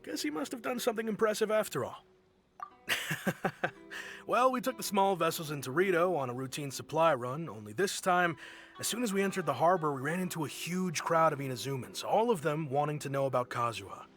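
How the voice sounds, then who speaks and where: A man's voice: on the high side, a little fast. An actor voicing an easygoing, friendly ship crewman in a video game who laughs often. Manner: relaxed, amused, laughs often. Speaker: sailor in a pirate fleet. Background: game music.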